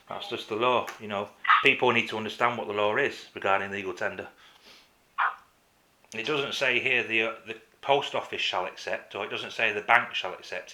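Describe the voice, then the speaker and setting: A man making a complaint as a caller in a phone conversation, insistent and halting, often repeating himself. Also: on the low side, sharp tone. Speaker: caller to a council office.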